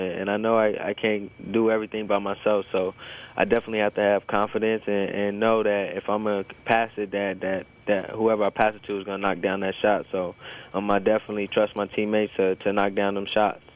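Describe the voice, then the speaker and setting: A young American man in his early twenties, a college athlete giving informal, hesitant interview answers over a phone line. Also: on the low side. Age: early 20s. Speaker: college basketball player being interviewed. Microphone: telephone. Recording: tinny, narrow phone line.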